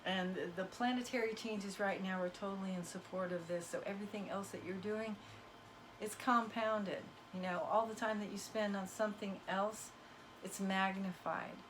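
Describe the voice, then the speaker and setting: A woman whose voice is wearing hoarse, with the odd cough, talks straight to her viewers as a spiritual card reader. She is emphatic and a little preachy, leaning on folksy turns of phrase. Her pitch sits in an everyday middle range. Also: steady tone, moderate pace, slight background noise, poor audio. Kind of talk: casual motivational talk to viewers.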